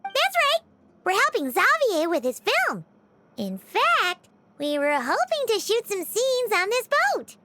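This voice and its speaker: A woman voicing a small, excitable floating fairy companion in a video game, with a very high, squeaky voice and an eager, chatty way of talking. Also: slow pace, heavily acted. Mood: chirpy. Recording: game audio over background music.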